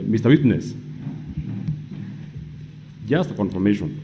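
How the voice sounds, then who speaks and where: A Kenyan man with a fairly low voice questions a witness as a senator. He is solemn and deliberate, hesitating between phrases in a Kenyan accent, with clear noise behind him. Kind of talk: formal cross-questioning. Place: parliamentary chamber. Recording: clear background noise, live chamber.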